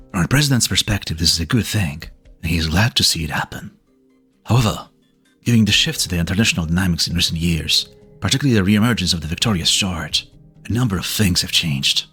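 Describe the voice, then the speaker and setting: Man playing a deep, husky, formal official. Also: fairly bright tone, exaggerated voice. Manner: formal, deliberate, serious. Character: composed, formal government or military official. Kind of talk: amateur fan-dub dramatic dialogue.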